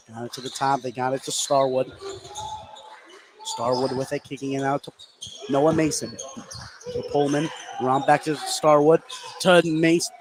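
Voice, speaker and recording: A man calling live basketball play-by-play as the announcer. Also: sits high. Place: indoor basketball gym, courtside. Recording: noisy gym ambience, bouncing basketball. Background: game noise, ball bouncing.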